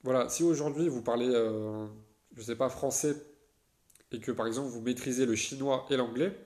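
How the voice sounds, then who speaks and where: A man's voice: medium pitch, moderate pace, darkish tone. A young man from France talking through his solo podcast, loose and chatty, full of hesitations and restarts. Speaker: podcast host.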